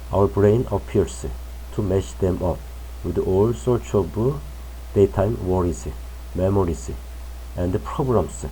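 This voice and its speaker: Slow, deep-voiced man reading news. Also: warm tone, a little hiss or hum, rough recording. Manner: slow, careful, measured reading. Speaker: English news reader. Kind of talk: reading an article aloud.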